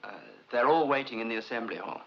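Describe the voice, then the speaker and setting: A man's voice: mid-range voice, quick pace, lightly acted. A man acting a dutiful, anxious subordinate making a report in a 1950s film. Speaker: film actor. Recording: dull, hissy vintage film soundtrack.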